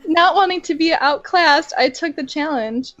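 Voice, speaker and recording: A woman's voice: sits high, moderate pace, a bit bright, no echo, highs cut off. A young woman reading fan fiction aloud in a mock-dramatic way and adding sarcastic, giggly comments between passages.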